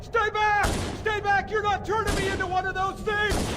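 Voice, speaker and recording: An actor playing a terrified, crazed soldier in a video game, yelling hysterical threats. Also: male voice, very high voice, little pitch movement, normal speed. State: hysterical, shouting. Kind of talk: video game military acting.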